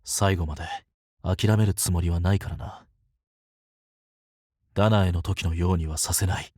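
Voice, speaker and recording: A man playing an easygoing, protective and resolute fantasy leader in a Japanese voice drama, with casual, gently teasing speech. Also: low-pitched, fairly even intonation, normal speed, dry recording, clean studio sound. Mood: quiet resolve.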